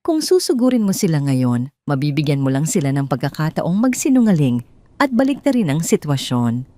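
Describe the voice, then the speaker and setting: A woman narrator plays a sorrowful Filipino man in his sixties in an audio drama. He speaks quietly and calmly, with a grave weight to his words. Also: deep voice, moderate pace. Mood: calm warning.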